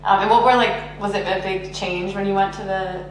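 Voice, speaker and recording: A woman interviewing, asking short prompting questions with soft backchannels. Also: mid-range voice, fairly even intonation, moderate pace, far from the mic. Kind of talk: casual oral history interview. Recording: very echoey room, faint hum.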